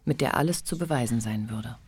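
A woman narrating a literary radio play with measured, deliberate phrasing. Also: extremely low pitch, darkish tone.

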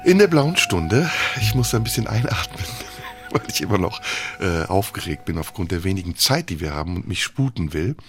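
A man's voice: deep voice, sing-song, normal speed, over music. A man hosting a radio interview, chatty and thinking aloud, laughing now and then as he steers the talk. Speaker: radio host. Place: radio studio.

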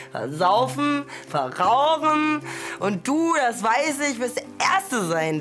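A woman playing a theatrical, devout sinner at prayer in a German radio play, with a creaky voice. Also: lowish voice, fairly bright tone. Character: theatrical devout sinner praying for Kreuzberg's drunken souls.

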